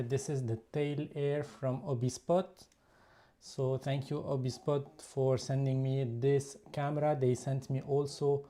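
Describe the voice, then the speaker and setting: A man's voice: mid-range voice, mellow. A man from Lebanon with a Lebanese accent, whose first language is Lebanese Arabic, hosting a casual photography live stream and chatting while he shows his camera gear. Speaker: YouTube photography channel host.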